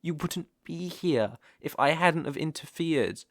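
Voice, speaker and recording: A British man playing an obsessive, softly possessive stalker in an intimate ASMR roleplay, hushed and coaxing. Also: a little high, lively intonation, measured pace, no room sound, studio quality. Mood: soothing, possessive.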